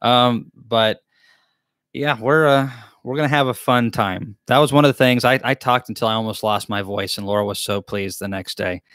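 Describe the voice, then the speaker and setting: A man's voice: mid-range voice, steady tone, normal speed, a bit bright, no echo, studio quality. Chatty man hosting a live chat. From Tennessee, US. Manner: chatty, rambling, talks in restarts. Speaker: beekeeping channel host. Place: small wood-paneled room indoors. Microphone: desk mic close to camera.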